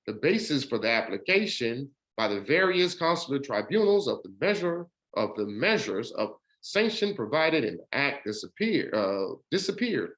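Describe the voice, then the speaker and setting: A man reading a court judgement aloud, stumbling over words and breaking off to explain it in his own words. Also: rough recording. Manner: rises in pitch and speeds up when explaining.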